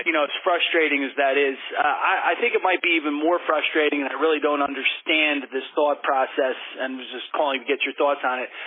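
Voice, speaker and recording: A man leaving a casual, rambling voicemail as a caller to a sports show, heard over a phone. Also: fairly high pitch. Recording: muffled narrowband phone voicemail.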